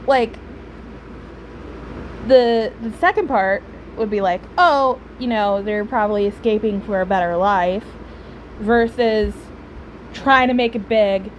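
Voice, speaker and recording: A woman giving casual, rambling, opinionated commentary on a game story in a halting way. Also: mid-range voice, slight background noise, low-quality recording. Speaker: streamer reacting to a game story.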